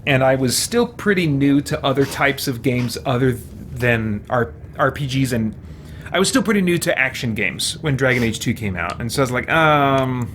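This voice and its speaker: A man's voice: medium pitch, lively intonation, normal speed, fairly bright tone, slight background noise. A man streaming a video game, chatting casually and thinking aloud in a rambling, musing way. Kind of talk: casual livestream chat over gameplay.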